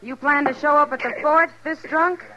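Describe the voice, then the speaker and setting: Casting: a wry Old West saloon gal, very high bright voice, dull vintage radio sound. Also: female voice, steady tone, normal speed. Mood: wry, disapproving. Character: worldly, wry frontier saloon woman. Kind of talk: old-time radio western acting.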